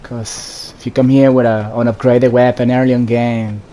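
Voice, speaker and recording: A man giving casual, low-key commentary on his own video game playthrough, thinking aloud in fragments. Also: normal speed. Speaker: gamer narrating own playthrough. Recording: steady background noise behind voice.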